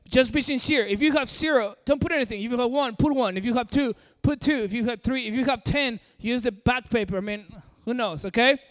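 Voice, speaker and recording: High-voiced man preaching to a hall. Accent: American-sounding English. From Peru. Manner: direct and coaxing, addresses the audience. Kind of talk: sermon. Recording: long hall reverb.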